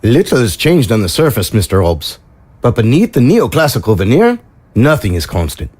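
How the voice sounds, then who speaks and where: An actor playing a courteous, knowledgeable chauffeur with a husky voice and ornate, formal speech in an audio drama. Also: male voice, mid-range voice, very animated, normal speed, darkish tone. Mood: proper, informative.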